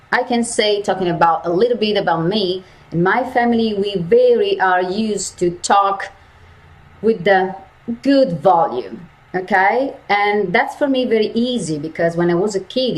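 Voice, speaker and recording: Slow, emphatic woman voice coach. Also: mid-range voice, a little mellow, low-quality recording. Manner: slow, emphatic, repeats key phrases. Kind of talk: lecture to university students.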